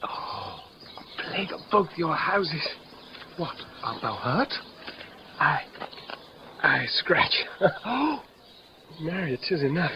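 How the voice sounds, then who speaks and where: An actor playing a young, defiant, bitterly witty duellist in a classical radio drama, sardonic and declamatory. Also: male voice, on the high side, very animated, takes it slowly, long pauses, noisy background, exaggerated voice. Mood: pained, wry. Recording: dull lo-fi radio drama with music.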